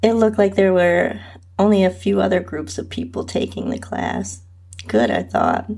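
A woman's voice: lowish voice, creaky, a little mellow, husky. A woman reading a scripted first-person story aloud as its narrator and host.

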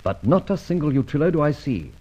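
A man playing a suave, wry and commanding gentleman adventurer in a vintage radio adventure serial. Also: on the high side, very animated, fast. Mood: brisk reasoning. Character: suave, self-assured gentleman adventurer and amateur sleuth. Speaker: narrator and lead actor. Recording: dull old radio recording, music behind.

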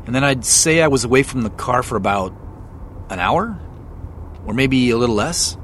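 Actor as polite, guarded man on a call while driving, hesitant, animated pitch, car noise around him, audio drama. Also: normal speed, lightly acted. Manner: hesitant, short guarded answers. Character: guarded, polite male driver taking a phone call. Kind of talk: naturalistic audio drama dialogue. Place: inside a car. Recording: steady car cabin noise.

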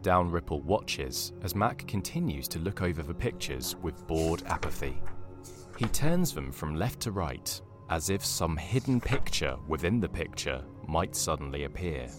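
A man narrating a scripted audio drama in a wry, deadpan way. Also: sits low, unhurried, over music.

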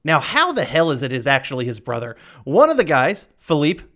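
A man's voice: fairly high pitch, wildly swooping pitch, fairly quick, pushed and bright. Laughing, rambling male podcast host. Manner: rambling and laughing, sometimes swearing; retells scenes with playful quoted lines. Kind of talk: casual chat.

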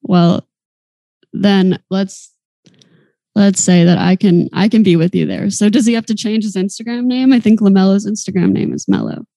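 A woman's voice: mid-range voice, moderate pace, dry recording. A woman in her twenties co-hosting a podcast, chatting casually and telling stories with giggles. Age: 20s. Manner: chatty, giggly, animated storytelling. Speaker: podcast co-host. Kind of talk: casual chat.

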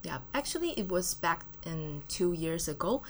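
Woman, low voice, English, relaxed guest in studio. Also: moderate pace, a little hiss or hum. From Taiwan. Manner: friendly, casual, uses fillers and non-native grammar. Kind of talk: studio interview answers. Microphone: studio mic.

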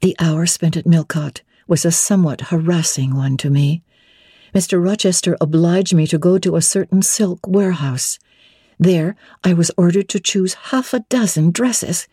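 A woman narrating classic literature as a dramatic audiobook reading, measured, expressive and theatrical. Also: on the low side, breathy edge, dry recording, clean studio sound.

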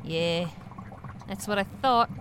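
A woman's voice: mid-range voice, slow, background noise, natural voice. A woman playing a blunt, sardonic, no-nonsense pet shop boss in a naturalistic audio drama. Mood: blunt, stern.

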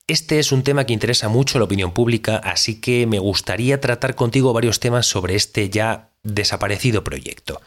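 A man's voice: lowish voice, fairly quick, hardly any pauses, lightly acted. A man playing a direct, insistent radio interviewer in a scripted audio drama, speaking. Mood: cordial, direct. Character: direct, probing male radio interviewer with no-nonsense questions. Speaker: podcast host interviewing an astronaut. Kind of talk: scripted fictional interview show.

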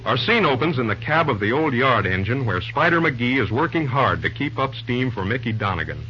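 A man's voice: mid-range voice, big pitch swings, a little fast, sharp tone. A man reading scripted narration as the announcer of an old-time radio serial. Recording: muffled old radio broadcast. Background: prominent music.

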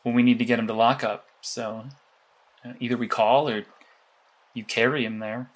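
A man's voice: mid-range voice, little pitch movement, normal speed, natural voice. Man acting as precise, cerebral superhero mastermind. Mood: analytical, matter-of-fact. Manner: precise, explanatory, matter-of-fact. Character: cerebral analytical male superhero mastermind guiding a young intern. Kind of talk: audio drama acting.

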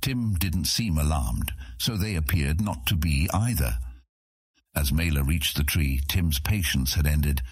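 A man's voice: a bass voice, a little slow, breathy edge, no echo, studio quality. A man narrating a fantasy audiobook in a dramatic, scripted storytelling style.

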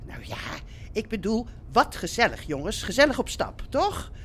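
A woman's voice: a little high, sing-song, moderate pace, slight background noise. An actress playing a cheerful, chatty widowed mother of grown children in an audio drama, gushing and repeating herself to keep the peace. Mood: cheerful, placating. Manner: gushing, repetitive, placating.

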